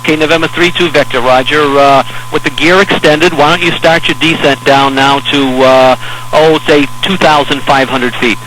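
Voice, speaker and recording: A man's voice: a little high, steady tone. American male air traffic controller over radio. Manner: measured, hesitant, step-by-step reassuring. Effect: aviation radio. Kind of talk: instructional radio talk to a pilot in an emergency.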